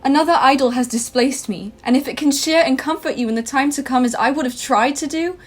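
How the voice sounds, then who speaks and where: A young woman on a school stage playing a hurt young fiancée, speaking with measured, dignified reproach. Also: high voice. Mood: sorrowful. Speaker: stage actor. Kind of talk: school stage play acting.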